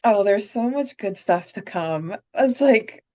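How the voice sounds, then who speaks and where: A woman's voice: medium pitch, animated, takes it slowly, warm tone, highs cut off. A woman co-hosting a fan podcast, chatty and enthusiastic with lots of "like," reading questions and closing the episode. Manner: chatty, enthusiastic, filler-heavy. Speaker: podcast co-host. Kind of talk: casual fan podcast chat.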